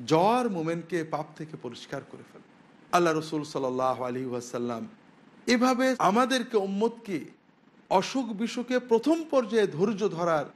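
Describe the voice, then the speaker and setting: A man preaching as an Islamic scholar, explaining emphatically to viewers. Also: high-pitched. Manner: emphatic, explanatory, with rhetorical questions. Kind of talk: religious sermon to viewers, with Arabic quotations.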